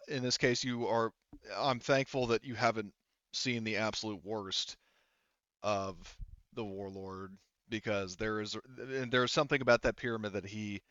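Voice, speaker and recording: A low-voiced man acts as game master for a tabletop roleplaying session. He improvises the narration in a halting, rambling, casual way, and the close, dry recording sounds a little dull. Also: fairly bright tone, dry recording. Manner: halting, rambling, informal and profane.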